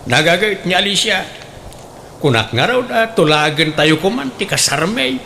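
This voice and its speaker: A man's voice: sits high, big pitch swings, normal speed, vocal fry, pushed and bright, a little hiss or hum, full character voice. A man with an Ilocano accent playing a smitten, stubborn elderly father in a comedic radio drama. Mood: eager, stubborn. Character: smitten elderly widowed father eager to marry.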